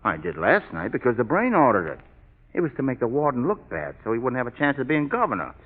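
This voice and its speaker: Cocky menacing gangster, tinny echoey radio. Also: male voice, mid-range voice, wildly swooping pitch, fast talker. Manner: slangy, sneering, tough-guy swagger. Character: cocky menacing male killer gangster, slangy and boastful. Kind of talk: 1930s-40s radio crime drama acting.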